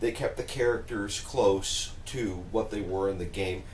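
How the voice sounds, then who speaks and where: A man talking casually on a panel, explaining his opinions at length and deliberately. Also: on the low side, a little mellow. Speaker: podcast co-host. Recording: roomy echo, faint background noise.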